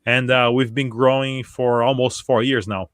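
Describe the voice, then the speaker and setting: A man from Brazil, a guest in an interview, telling his story in a relaxed way and often repeating words as he starts. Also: medium pitch, moderate pace, clean tone, no echo, clean studio sound. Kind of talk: casual interview.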